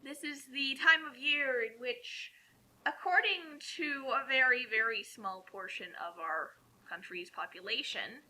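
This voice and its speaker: A woman's voice: high voice, unhurried, pushed and bright, poor audio. An American woman co-hosting a casual group chat, talkative and wry. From United States. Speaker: co-host.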